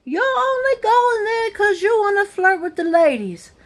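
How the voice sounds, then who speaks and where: A woman voicing a bickering older Italian-American wife who complains in a shrill, high voice. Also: big pitch swings, unhurried, heavily acted. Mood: nagging.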